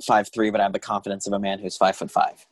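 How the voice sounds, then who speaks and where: A young man chatting casually on a remote call, joking and self-deprecating with quick asides. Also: lowish voice, monotone, very noisy. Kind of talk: casual chat.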